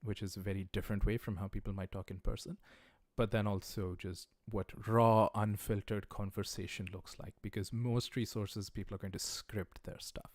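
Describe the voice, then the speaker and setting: A man with a lowish voice teaches a livestreamed class in an easygoing, chatty way, often pausing on "uh" and "um". He sounds encouraging as he speaks straight to his viewers. The sound is close and dry, with no room echo. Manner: relaxed, conversational, many "uh" and "um" fillers; encouraging, recommends resources directly to viewers. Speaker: language teacher. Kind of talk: casual livestreamed lesson.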